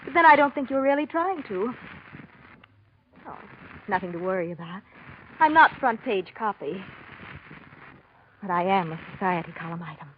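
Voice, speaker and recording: A woman's voice: mid-range voice, big pitch swings, normal speed, pauses often, natural voice. An actress playing a coy, persistent, manipulative society woman in 1940s radio drama style. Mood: coy, seductive. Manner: coy, insinuating, pleading. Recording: muffled old radio recording with hiss.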